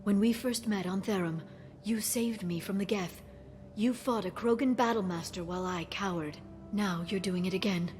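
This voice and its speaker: Woman performing as rational, driven sci-fi game heroine, mid-range voice, clipped and resolute, soft score underneath. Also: medium pitch, moderate pace, close to an everyday voice. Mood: soft, vulnerable. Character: determined, coolly rational alien woman, intense and guarded. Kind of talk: dramatic video game acting. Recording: music under the voice.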